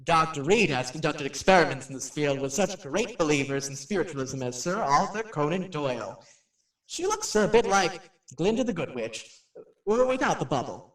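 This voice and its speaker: A man's voice: fairly high pitch, very animated, moderate pace, far from the mic. An actor playing a wisecracking, sceptical male radio personality giving chatty, flippant on-location patter in an audio drama. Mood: breezy, showy. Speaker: radio show host. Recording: roomy, echoing, off-mic drama recording.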